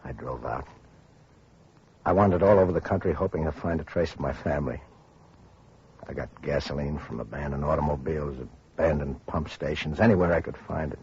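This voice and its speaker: Broken atom war survivor, super low husky voice, subdued, old radio drama. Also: male voice, brisk, a little mellow, heavily acted. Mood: flat, resigned. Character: grieving middle-aged survivor of an atomic war. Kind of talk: 1940s radio drama acting. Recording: muffled old radio broadcast recording.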